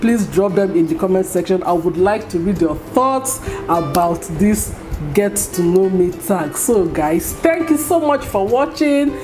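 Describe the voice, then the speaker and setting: A woman in her thirties with a Nigerian accent, an Igbo speaker, talking to camera in a get-to-know-me vlog with an animated, scolding, expressive manner. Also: medium pitch, measured pace, over music. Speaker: vlogger. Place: small indoor room at home. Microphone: camera at close range.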